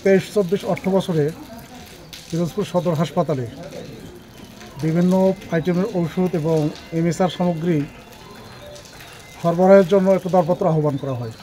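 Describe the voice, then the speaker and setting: A man's voice: a little high, fairly even intonation, normal speed, pauses often, dark tone, rough recording. A man, an anti-corruption official, giving a formal, bureaucratic statement to the press outdoors at a hospital.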